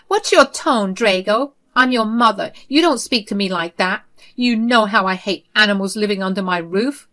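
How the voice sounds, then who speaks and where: A woman's voice: medium pitch, moderate pace, fairly bright tone, pitched above the performer's own voice, close to an everyday voice. A woman voicing a self-righteous older mother-in-law, whiny and indignant, in a read-aloud story.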